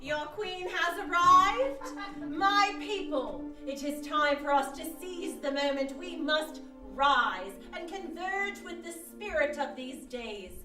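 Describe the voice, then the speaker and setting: A woman's voice: sits high, expressive, slow. An actress playing a pompous queen of reenactors in a radio comedy, proclaiming grandly in theatrical style. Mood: grand, commanding. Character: pompous imperious mock-medieval queen of reenactors. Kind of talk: old-time radio comedy acting before a live audience. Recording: roomy live stage, distant mic, faint music.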